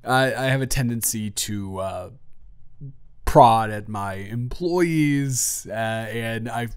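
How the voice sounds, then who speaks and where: A man's voice: mid-range voice, takes it slowly, clear, resonant tone, dry recording, clean studio sound. A man playing a flirty, joking office boss in an improvised roleplay audio, stammering and interrupting himself as he teases. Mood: teasing, sheepish. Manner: rambling, stammering, self-interrupting; playful, teasing.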